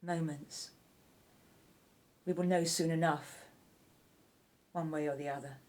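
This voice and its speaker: Haughty, low-voiced scheming queen played by a woman. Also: fairly even intonation, slow pace, darkish tone. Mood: conspiratorial. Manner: haughty, cutting, imperious. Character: ruthless scheming vengeful royal wife of ancient Egypt. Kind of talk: radio drama acting.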